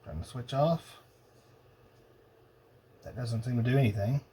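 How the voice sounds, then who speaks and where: A man thinking aloud in a halting, casual way as he tests electronics at a workbench. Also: medium pitch, mellow. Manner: muttering, halting, pondering to himself. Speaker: hobbyist demonstrating electronics testing. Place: indoor cluttered electronics workbench. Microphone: old phone used as camera above bench. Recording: noisy phone-camera workshop recording.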